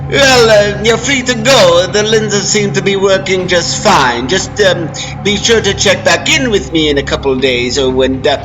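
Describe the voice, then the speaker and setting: A man's voice: high voice, expressive, noisy background, voice pushed up. A man voicing an eager, hesitant optometrist in a story reading, stumbling over ums and uhs. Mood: awkward, upbeat. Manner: halting, hedging with ums and uhs. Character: eager, hesitant optometrist pitching experimental lenses.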